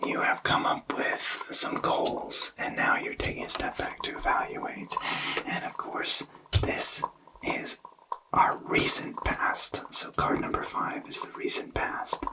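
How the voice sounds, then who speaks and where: A man whispering an ASMR tarot reading, explaining softly and reassuringly with hesitant pauses. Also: recorded from a distance, low-quality recording. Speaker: tarot reader.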